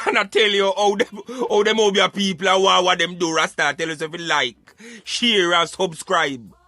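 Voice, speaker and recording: A Jamaican man hosting a casual talk show in patois, animated and emphatic as he addresses his listeners. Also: sits high, moderate pace, faint music behind. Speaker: radio show host.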